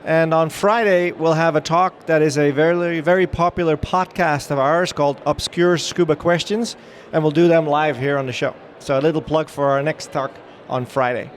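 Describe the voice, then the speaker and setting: A middle-aged Dutch man talks to a crowd at a trade show, upbeat and chatty, often pausing on fillers and restarting his sentences. His voice sits somewhat high for a man. A faint wash of show-floor noise runs behind him. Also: fairly even intonation. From Netherlands. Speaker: dive training director giving a trade-show talk. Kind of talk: live presentation to an audience.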